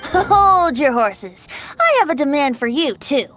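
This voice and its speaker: A woman playing a playful, wily boyish bard in an anime video game, with theatrical, teasing, drawn-out phrasing. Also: sits high, sing-song. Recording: voice over game music.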